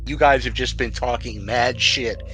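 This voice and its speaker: A man acting as game master, narrating and bantering casually in a wry, deadpan way. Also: medium pitch, normal speed. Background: music.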